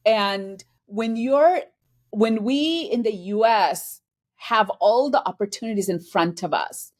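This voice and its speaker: A woman from Bangladesh speaking as an executive guest in an interview. She talks earnestly and thoughtfully and slips into quoted back-and-forth to make her points. Her voice sits at an everyday middle pitch. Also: takes it slowly. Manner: earnest, reflective, acts out quoted dialogue. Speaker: guest, finance and transformation executive. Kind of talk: conversational interview.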